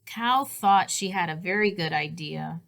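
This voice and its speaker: A woman's voice: fairly low pitch, unhurried, gravelly, fairly bright tone. A woman narrating a children's storybook as a read-aloud. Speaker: storybook reader.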